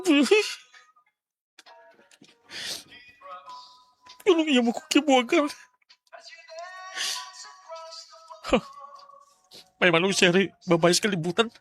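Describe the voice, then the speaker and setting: A man's voice: sits high, bright, forceful tone. A man acting in a Bisaya radio drama as a sentimental older male lawyer, with a Cebuano accent and emotive delivery. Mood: indignant, wounded. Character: Teresito, sentimental older male lawyer looking back on heartbreak.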